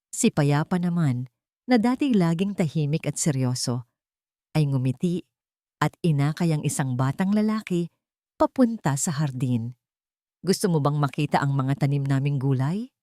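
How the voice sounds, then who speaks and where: Female Filipino storyteller, low-pitched, earnest and sentimental, reading dramatic narration in a very dry close recording. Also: mellow, dry recording. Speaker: story narrator and channel host.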